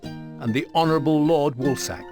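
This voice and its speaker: A man's voice: a little high, moderate pace, close to an everyday voice. A man narrating a military campaign in a video game, formal and solemn, with scripted drama. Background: loud guitar music.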